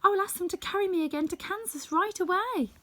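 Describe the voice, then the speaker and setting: Woman playing a high, sweet, eager little girl. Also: fast, bright, forceful tone. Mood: joyful. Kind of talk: children's storybook read-aloud.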